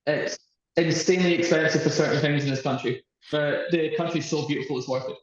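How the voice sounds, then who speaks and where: A man's voice: a little high, fairly even intonation, a little fast. A young man from Scotland with a Scottish accent, chatting casually and amiably as a guest. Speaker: podcast guest, traveller. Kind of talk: casual chat. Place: echoey indoor room at a hostel. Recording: very echoey, muffled lo-fi sound.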